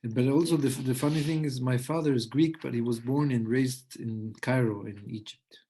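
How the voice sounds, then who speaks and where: A man born in Peru and living in Switzerland, hosting a casual podcast chat with a husky voice, often searching for words. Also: medium pitch, moderate pace, dark tone, rough recording. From Peru-born, lives in Switzerland. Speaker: podcast host. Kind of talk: casual spiritual conversation.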